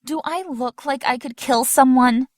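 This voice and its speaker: A woman's voice: high voice, measured pace, no room sound, studio quality. A woman playing a defiant, sarcastic and frightened young woman in an audio drama, indignant and skeptical in her delivery. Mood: defensive.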